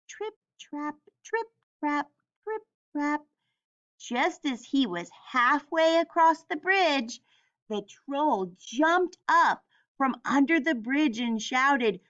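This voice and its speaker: Slow, high-voiced woman reading a storybook. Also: a bit bright, muffled recording. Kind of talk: children's storybook narration.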